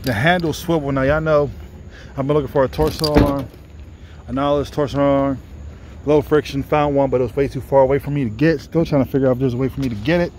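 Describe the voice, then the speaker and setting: A man's voice: medium pitch, fairly even intonation, fast, darkish tone. A man in his thirties vlogging about his workout, with loose, self-correcting explanations, filmed on a phone camera. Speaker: fitness vlogger. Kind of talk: casual gym vlog talk. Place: gym indoors, then outdoors by trees. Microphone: phone camera propped up, then held at arm's length. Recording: faint background noise.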